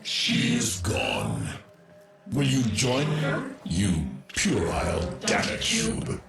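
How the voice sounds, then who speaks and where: Game villain: ancient alien machine warlord, theatrical, menacing, crackling low growl, hugely varied intonation. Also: male voice, wildly swooping pitch, slow, vocal fry, exaggerated voice. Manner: grandiose, theatrical threats. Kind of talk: video game voice acting. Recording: loud game music behind.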